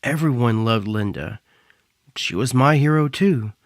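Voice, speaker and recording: Male actor as a bitter young cyborg performer, mid-pitched, dry studio sound. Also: a little slow, dry recording, close to an everyday voice. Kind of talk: audio drama acting.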